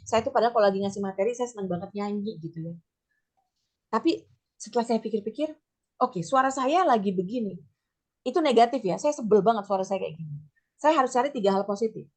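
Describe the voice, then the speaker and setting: A middle-aged woman with a slightly hoarse voice, giving an informal teachers' workshop talk in a chatty, step-by-step way sprinkled with English terms. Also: medium pitch, expressive, quick pace, a little mellow. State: slightly hoarse, voice not back to normal. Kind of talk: informal training talk with personal examples.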